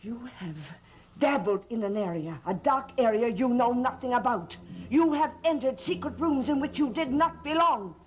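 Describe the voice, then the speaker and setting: An actress playing a stern, menacing servant who guards a master's occult secrets in a gothic soap opera, severe and accusing. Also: female voice, on the high side, lively intonation, normal speed, darkish tone, lightly acted.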